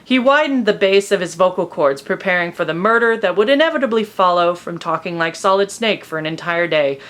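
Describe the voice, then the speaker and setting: A woman reading fan fiction aloud as an expressive, amused comedic narrator. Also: on the low side, fairly quick.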